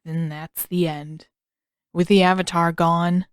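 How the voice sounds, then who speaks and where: A woman's voice: on the low side, level tone, takes it slowly, clean tone, no room sound, clean studio sound. A woman voicing a grim, weary male village leader who speaks in a solemn, resigned manner in a story.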